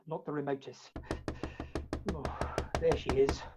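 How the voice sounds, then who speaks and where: A woman playing an exhausted, fleeing gentleman in a comic farce, out of breath and speaking in clipped bursts. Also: extremely low pitch, steady tone, measured pace, loud music behind the voice. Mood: wry. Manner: clipped, breathless list-like storytelling. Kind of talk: comic stage farce acting.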